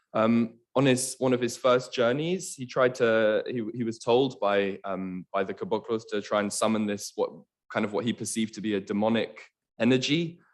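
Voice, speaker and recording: A man whose first language is Estonian, giving an online academic lecture in a halting, hesitant way over a video call. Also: on the low side.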